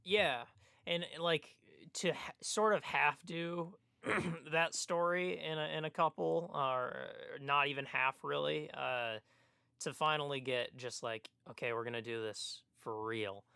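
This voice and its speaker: A man's voice: fairly high pitch, unhurried, creaky, sharp tone, smooth, dry recording, studio quality. A man co-hosting a casual podcast, hesitant and thinking aloud as he talks. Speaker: podcast co-host.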